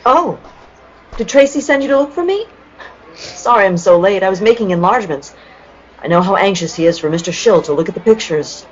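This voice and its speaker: A woman's voice: mid-range voice, animated, fairly quick, lightly acted. A woman playing a trusting, easily startled young sweetheart heroine in an old-time radio play. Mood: trusting, flustered. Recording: muffled, lo-fi audio with noise.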